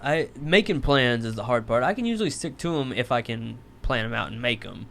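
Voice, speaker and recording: A man hosts his podcast with a loose, unhurried delivery and plenty of swearing. His pitch sits in an ordinary middle range. A faint hiss runs under the recording. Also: sing-song, gravelly, a bit bright. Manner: laid-back, swears freely. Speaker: podcast host. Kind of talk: casual chat.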